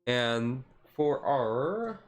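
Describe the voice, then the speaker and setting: Male streamer, casual and rambling hobbyist with a slightly tired voice, middle-pitched, over background music. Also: sing-song, drawn-out words. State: voice tiring. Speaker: streamer, card game hobbyist. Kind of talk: casual deck walkthrough, chill ASMR stream.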